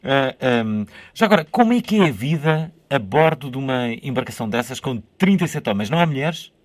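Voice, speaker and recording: Lively Portuguese radio host, dry sound. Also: male voice, mid-range voice, no echo. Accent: European Portuguese. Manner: inquisitive, halting, interjecting. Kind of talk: casual interview chat. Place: radio studio.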